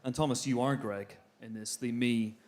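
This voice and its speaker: Medium-pitched man interviewing guests. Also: steady tone, normal speed, a little mellow. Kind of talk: conversational interview questions.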